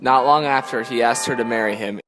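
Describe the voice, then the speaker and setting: A man with a mid-pitched voice narrates a story from a script. His voice carries a long hall reverb, with music playing behind it. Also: brisk.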